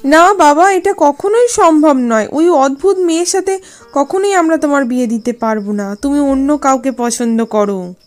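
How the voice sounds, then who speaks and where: A woman's voice: sits high, animated, normal speed, soft background music. A woman voicing a firm, disapproving middle-aged village mother in a cartoon fairy tale, emphatic in manner. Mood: firm refusal.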